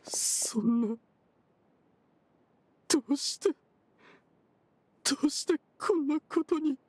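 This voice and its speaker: An actor playing a distraught, gruff older brother in an anime game. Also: high-pitched, takes it slowly, darkish tone. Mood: stricken disbelief.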